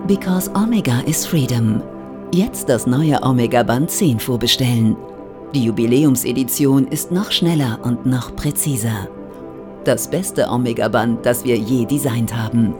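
A man's voice: mid-range voice, unhurried, over music, lightly acted. A man playing a polished, promotional announcer in a futuristic gadget advert within an audio drama. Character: slick male commercial announcer for futuristic wristband. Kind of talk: advert voice-over.

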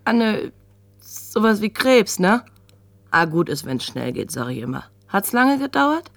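An actress playing a blunt, nosy shopkeeper with a colloquial, muttering way of talking in a radio drama. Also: female voice, mid-range voice, sing-song, a little slow, creaky, dry recording. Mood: curious sympathy. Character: brusque, nosy, heavy-set female kiosk keeper. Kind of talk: German radio drama acting.

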